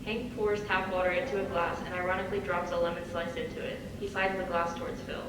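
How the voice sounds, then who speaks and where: A woman reads scripted stage directions in a lowish voice, recorded from far off in a big, ringing hall. Clear noise runs under her narration. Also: flat delivery, fast. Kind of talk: scripted narration of a screenplay at a table read. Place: large reverberant room, recorded from afar.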